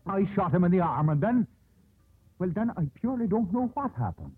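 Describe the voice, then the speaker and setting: A flustered sailor in an old radio play, husky and fairly high for a man. He makes halting, sheepish excuses over a tinny broadcast. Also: sing-song, full character voice. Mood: sheepish, defensive. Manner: halting, apologetic. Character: sheepish, flustered ship's crewman bodyguard. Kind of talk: 1950s radio drama acting.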